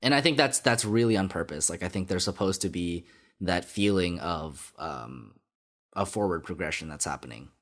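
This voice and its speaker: Lively-voiced, analytical man podcast co-host. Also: sits low, moderate pace. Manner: thoughtful, analytical, with lively intonation. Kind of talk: casual anime discussion.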